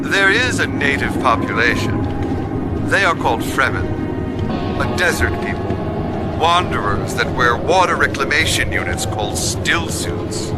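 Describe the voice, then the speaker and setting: A sly, condescending court adviser speaks slowly, with pitch that rises and dips dramatically. He is haughty and menacing, a theatrical game villain, in long reverb with music behind him. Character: sly, condescending male mentat adviser, servile to his lord. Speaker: adviser briefing a new military commander. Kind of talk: theatrical video game cutscene acting.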